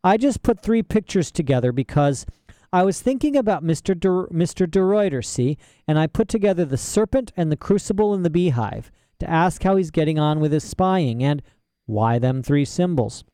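A man's voice: on the high side, expressive, normal speed, dark tone, no room sound, studio quality, pitched above the performer's own voice, natural voice. A man voicing an eager, curious young girl in a storybook reading, with rustic grammar and questioning tone.

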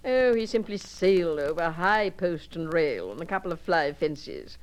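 A woman's voice: lowish voice, lively intonation, normal speed, lightly acted. Woman doing a bored, clipped horsey lady. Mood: languid. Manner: clipped, languid, offhand. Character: bored, horsey upper-class Englishwoman. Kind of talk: solo character monologue.

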